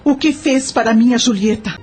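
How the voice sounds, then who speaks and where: A woman's voice: fairly high pitch, moderate pace, darkish tone, soft background music, close to an everyday voice. A woman with a Brazilian accent playing a grieving elderly aunt in an audio drama, solemnly and insistently demanding the truth.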